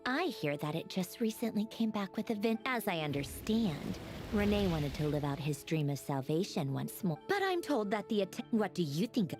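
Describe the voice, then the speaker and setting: A woman's voice: medium pitch, a little mellow, natural voice. An actress playing a haughty, witty woman inventor in a video game, guarded yet wistful, speaking in a wry, articulate way. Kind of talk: scripted video game story dialogue.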